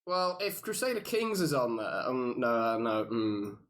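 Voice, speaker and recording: A man's voice: medium pitch, big pitch swings, unhurried, fairly bright tone. A man chatting casually and swearing freely as a panelist in a podcast quiz game. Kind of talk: casual group banter.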